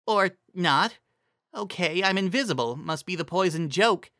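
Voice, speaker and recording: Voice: medium pitch, animated, unhurried, sharp tone, no room sound, clean studio sound, voice pushed up. A performer voicing a wry, exasperated young female unicorn magician in a storybook fantasy reading. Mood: wry, bewildered.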